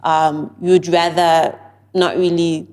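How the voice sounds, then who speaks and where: A woman's voice: lowish voice, level tone, slow pace, a little mellow, smooth. A young woman with an American-sounding accent, giving earnest, reflective advice as a guest on a podcast interview.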